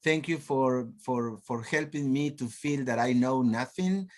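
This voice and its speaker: A man from Peru with a Peruvian accent, hosting an online teachers' meeting, halting and repeating his words. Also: mid-range voice. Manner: slow and halting, repeats words, laughs often. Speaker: host. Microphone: video call.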